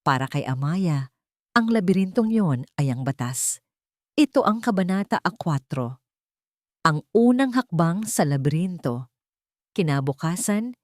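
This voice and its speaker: A woman reading a scripted dramatic story as narrator, with deliberate, theatrical phrasing. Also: fairly low pitch, darkish tone, dry recording, studio quality.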